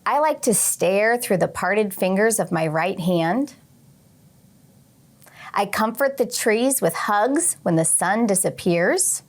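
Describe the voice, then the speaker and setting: Slow-paced woman reading to children. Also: medium pitch. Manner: expressive, addresses young children directly. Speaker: teacher and read-aloud host. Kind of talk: children's picture book read-aloud with short teaching asides.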